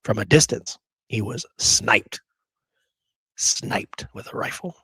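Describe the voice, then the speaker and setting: A man's voice: fairly low pitch, expressive, slow, vocal fry, bright, forceful tone, husky, no echo. Chatty American man hosting a history show. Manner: chatty, with frequent "you know" and "okay" asides. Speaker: history podcast host. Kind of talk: casual history talk, reading sources aloud.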